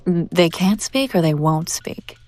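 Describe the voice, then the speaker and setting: Casting: female performer as an imperious demon buyer for audio roleplay; lowish voice, condescending, teasing, theatrical, very dry close sound. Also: animated, slow pace, a little mellow, no echo. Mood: cool, businesslike. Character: haughty, predatory demon woman, wealthy buyer at auction.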